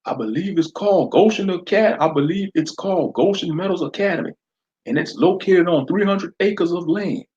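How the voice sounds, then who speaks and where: A man voicing an aggressive sheriff's deputy who yells and barks orders. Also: sits high, moderate pace, highs cut off, voice pushed up, close to an everyday voice. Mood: informing. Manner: yelling, barking orders. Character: aggressive male sheriff's deputy, loud and hostile.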